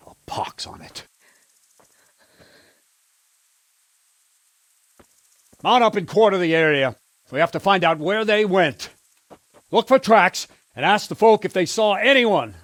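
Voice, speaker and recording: Casting: brusque military commander, medieval game drama, very varied intonation, dry studio sound. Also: male voice, sits high, animated, normal speed, fairly bright tone, dry recording. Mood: angry, commanding. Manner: authoritative, blunt, oath-swearing. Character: gruff, commanding medieval captain of soldiers, grimly determined. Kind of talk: dramatic video game acting.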